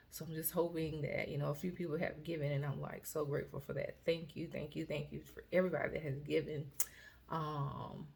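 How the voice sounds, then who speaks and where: A woman vlogger chatting casually to her viewers, rambling with fillers and restarts, her voice a little hoarse. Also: low voice. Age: adult student. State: slightly hoarse. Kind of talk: casual personal vlog update.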